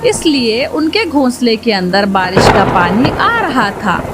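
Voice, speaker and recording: A woman's voice: a little high, big pitch swings, takes it slowly, heavy background noise. A woman narrating a scripted children's story as the storyteller of a cartoon. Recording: loud music behind the voice.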